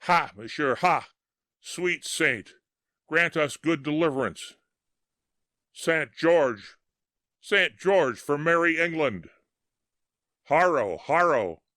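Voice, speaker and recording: A man's voice: a little high, animated, takes it slowly, pauses often, sharp tone, no room sound, clean studio sound, pitched above the performer's own voice, heavily acted. A man voicing a host of ghostly medieval bowmen calling out fervent battle cries. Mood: fervent crying.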